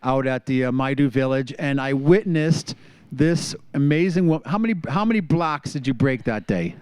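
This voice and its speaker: A man hosts a local TV talk show in an enthusiastic, friendly way, now and then restarting his sentences. His voice sits at an ordinary middle pitch. The sound is close and dry, with no room echo. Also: animated, normal speed, a little mellow, clean tone. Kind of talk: casual interview chat.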